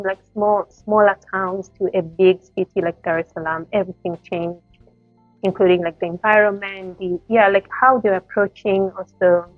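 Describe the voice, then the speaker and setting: A woman's voice: medium pitch, no room sound. A Tanzanian-accented woman, a medical doctor, answering interview questions thoughtfully, often repeating words as she gathers her thoughts. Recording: thin phone-like call audio.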